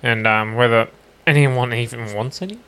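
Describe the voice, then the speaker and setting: A man's voice: mid-range voice, normal speed, bright, forceful tone. A young Australian man co-hosting a podcast in casual chat, with a laid-back, sweary, slangy way of talking. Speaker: podcast co-host. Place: smallish room in a home.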